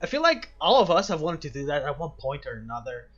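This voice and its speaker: A man's voice: a little high, very animated, fast talker, fairly bright tone, dull audio. A man hosting a casual game playthrough, talking reflectively and often repeating his phrases as he goes. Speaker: gaming video host.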